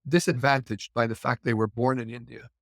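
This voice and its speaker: A man's voice: medium pitch, level tone, a little fast, fairly bright tone, no room sound, studio quality. A middle-aged Canadian man explaining points thoughtfully in a conversational discussion, with frequent fillers and restarts. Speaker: guest immigration commentator.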